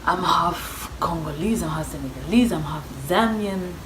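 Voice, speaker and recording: A young South African woman with a low voice chats casually to her viewers. She tells her story in slang and acts out each side of the conversations. The sound is echoey, with clear background noise. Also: normal speed. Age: young adult. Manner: chatty, slangy, acts out reported dialogue. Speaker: vlogger.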